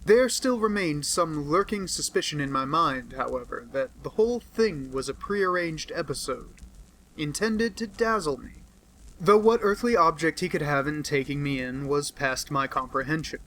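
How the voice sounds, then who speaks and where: A man reading a classic detective novel aloud in a measured storytelling manner. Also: fairly high pitch, a bit bright, a little hiss or hum, low-quality recording. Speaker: audiobook reader. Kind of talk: scripted narration. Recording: home recording.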